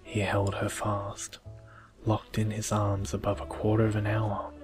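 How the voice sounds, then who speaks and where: A soft-spoken man slowly reading a bedtime story in a soothing ASMR style. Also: lowish voice, completely flat delivery. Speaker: storyteller, video creator. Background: music.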